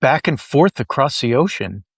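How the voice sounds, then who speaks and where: A woman's voice: very deep voice, big pitch swings, moderate pace, breathy edge, no echo. A woman interviews a guest, keeping her turns short and encouraging. Her voice is recorded dry and close, with almost no room sound. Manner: brief encouraging prompts.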